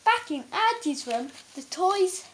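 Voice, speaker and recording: A woman reading a children's bedtime story aloud, haltingly, stumbling over words and correcting herself. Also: very high-pitched, very animated, slight background noise. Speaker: storybook reader.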